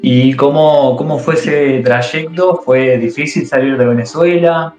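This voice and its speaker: A man's voice: medium pitch, a little slow, a little mellow, reverberant, soft background music. A man hosting a casual interview, asking short prompting questions.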